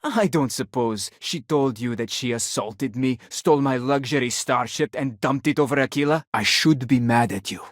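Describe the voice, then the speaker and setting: An actor playing a wealthy, stubborn, indignant father in a video game, speaking in a formal, exasperated way with a husky voice. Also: mid-range voice, moderate pace, hardly any pauses, dry recording, studio quality, natural voice. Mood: indignant, threatening. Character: wealthy, indignant, stubborn father hunting his runaway daughter.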